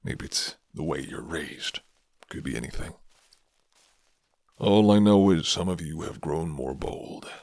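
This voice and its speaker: A man voicing a gruff, flirty but guarded werewolf in an intimate, murmured ASMR roleplay, with teasing pauses. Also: expressive, darkish tone, dry recording, studio quality, full character voice. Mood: musing, low. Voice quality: deep, low and gravelly.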